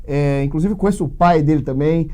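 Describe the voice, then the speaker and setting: A man's voice: on the high side, a little fast. A Brazilian man hosting a casual radio interview, chatty and upbeat in a studio. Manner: chatty, warm laughs, upbeat. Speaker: radio host and English teacher.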